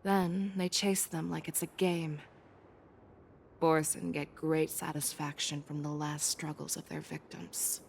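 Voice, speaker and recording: Low-voiced stern fox-folk general. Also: female voice, level tone, creaky, a little hiss or hum. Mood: cold, grim. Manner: measured, grave, commanding. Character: battle-hardened female fox-folk general, stern and composed. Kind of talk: video game voice acting.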